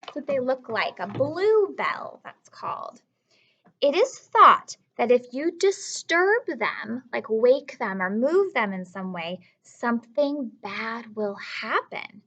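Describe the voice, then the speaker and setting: A woman teacher reading aloud and explaining simply to young children, often putting questions to the listener with emphatic, drawn-out storytelling delivery. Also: high voice, a bit bright, muffled recording.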